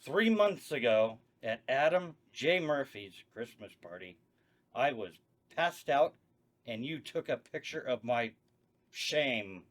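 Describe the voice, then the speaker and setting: A man's voice: medium pitch, very animated, slow. A man voicing a petty, vengeful Santa Claus at a script table read, blustering and indignant.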